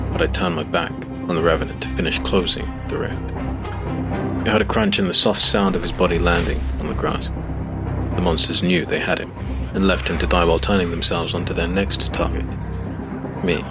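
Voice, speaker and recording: Man as determined fantasy hero, low voice, grave measured storytelling, scripted narration, music underneath. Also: lightly acted. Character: male monster-hunting hero recounting battle, grave, determined. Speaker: narrator and protagonist. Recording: prominent music behind the narration.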